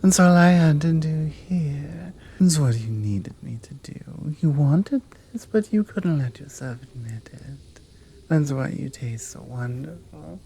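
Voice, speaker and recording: Slow, seductive British monster woman, acted by a woman. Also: sits low, expressive, dark tone, exaggerated voice. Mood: seductive, pleased. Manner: slow, teasing, breathy drops into whispers. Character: seductive, commanding horned fanged monster woman. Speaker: audio roleplay performer. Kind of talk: intimate ASMR roleplay acting.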